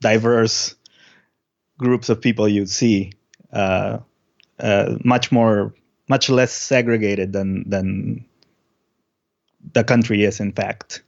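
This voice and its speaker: Low-voiced Peruvian man, halting storyteller. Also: steady tone, gravelly, muffled recording. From Peru. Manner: halting, thinking aloud. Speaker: musician, interview guest. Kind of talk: casual interview storytelling.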